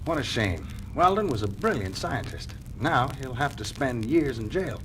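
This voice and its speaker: An actor playing a brave, earnest action hero in an audio drama, plain-spoken and direct. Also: male voice, mid-range voice, big pitch swings, normal speed, a bit bright, a little hiss or hum, low-quality recording. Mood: relaxed, wry. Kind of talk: 1970s children's record audio drama acting.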